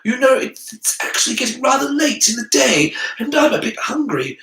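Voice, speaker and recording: A man voicing a nervous, polite young schoolboy who speaks haltingly in a storybook reading. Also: very high-pitched, very animated, creaky, fairly bright tone, pitched above the performer's own voice, exaggerated voice. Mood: nervous, evasive. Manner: halting, hesitant, anxious. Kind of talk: storybook character voice in audiobook reading. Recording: roomy, distant from the mic.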